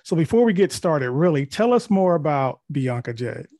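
A man hosting a music podcast, asking questions in a halting way with many fillers. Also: on the high side, sing-song, warm tone, dry recording, studio quality. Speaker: podcast host. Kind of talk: podcast interview.

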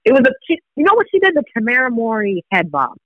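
Man from Kenya, native Swahili speaker, animated storyteller, swooping pitch, dry sound. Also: fast talker, dry recording, muffled recording. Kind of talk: casual chat.

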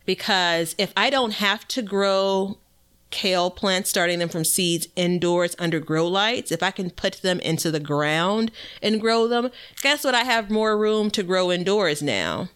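A woman co-hosting a gardening show in casual, unscripted conversation, talking in a playful, animated, chatty way. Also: medium pitch, a little slow, smooth. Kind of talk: casual unscripted co-hosted chat.

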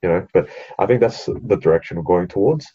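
Podcast co-host: a young Australian man with an Australian accent, husky and laid-back. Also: deep voice, brisk, highs cut off. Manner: laid-back, swears casually, hesitant with many ums. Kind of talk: casual chat.

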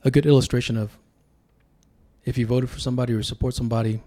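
A man's voice: mid-range voice, fairly even intonation, fast, warm tone. A man from Sacramento, California, whose first language was Tongan, talking as a podcast guest in a reflective, storytelling way. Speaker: podcast guest, political candidate. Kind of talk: casual podcast conversation.

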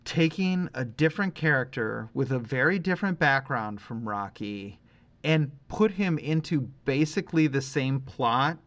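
A man's voice: medium pitch, lively intonation, measured pace, a bit bright. A man co-hosting a podcast, casually discussing film in an effusive, emphatic way, often repeating phrases for weight. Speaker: podcast co-host.

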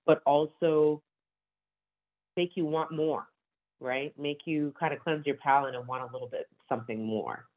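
A woman who runs a wine bar, chatting with enthusiasm and laughing often. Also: low-pitched. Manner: enthusiastic, frequent laughs and asides. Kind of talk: casual conversation. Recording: tinny phone-like sound.

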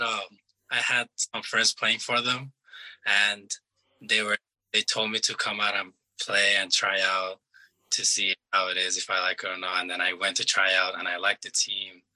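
Young man from Iran, low voice with a very bright edge, plain-spoken soccer player, halting answers. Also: level tone, poor audio. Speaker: soccer player being interviewed. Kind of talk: casual interview answers.

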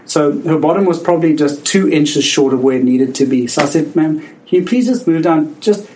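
Halting South African male physician in his forties, personal storyteller, faint room noise. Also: on the high side, lively intonation, darkish tone, poor audio. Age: 40s. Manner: halting, with frequent restarts and laughter.

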